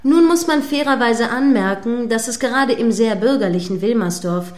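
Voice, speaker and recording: A woman playing an earnest radio news anchor in a radio play, with a formal, measured way of questioning. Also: fairly high pitch, darkish tone, lightly acted. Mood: earnest, probing. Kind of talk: satirical radio play as live news broadcast.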